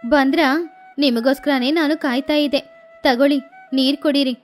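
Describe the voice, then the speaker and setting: A protective middle-aged mother in a cartoon, played by a woman, with a high voice that switches between coaxing and chiding. She talks in a warm, homely way. Quiet music runs under her lines. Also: steady tone, moderate pace, natural voice. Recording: faint background music.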